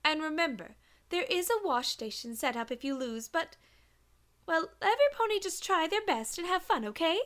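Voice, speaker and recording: A woman's voice: very high voice, animated, normal speed, a bit bright. A woman voicing a prim, encouraging female cartoon pony giving instructions in an audio story. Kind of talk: dramatized audiobook, cartoon acting.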